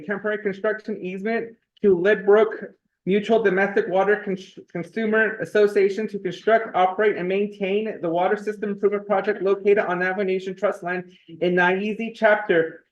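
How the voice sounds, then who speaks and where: A male committee clerk reads votes and legislation in a fast, high, level voice, rattling off formal phrases one after another. The meeting audio sounds dull and lo-fi. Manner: brisk, formulaic reading of votes and legislation. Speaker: committee clerk reading roll call. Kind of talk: formal procedural reading.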